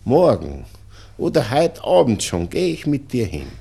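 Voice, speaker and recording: Husky, halting old Viennese father. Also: medium pitch, wildly swooping pitch, dark tone, exaggerated voice. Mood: anxious, tender. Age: older. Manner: halting, pleading, repeats himself. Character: anxious, doting old father pleading with grown daughter. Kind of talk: 1960s German-language radio drama acting.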